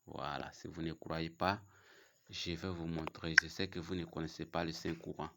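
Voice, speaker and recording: A man with a cold giving a casual, challenging religious talk to his viewers, repeating himself and pausing often. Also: extremely low pitch, level tone, gravelly, very noisy. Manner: challenging, repetitive, halting. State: has a cold. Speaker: religious video host. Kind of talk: casual religious preaching to camera.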